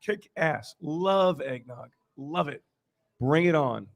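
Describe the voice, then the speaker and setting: A man's voice: on the high side, lively intonation, slow. A man chatting casually as a panelist in a group radio show. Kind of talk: casual banter. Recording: clearly noisy background with clicks.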